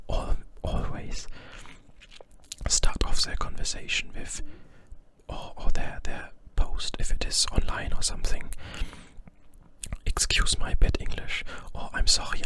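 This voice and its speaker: A young man from Germany with a German accent, whispering an informal ASMR explanation to viewers and deliberately leaning on hard, overpronounced consonants. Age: young adult. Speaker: ASMR creator.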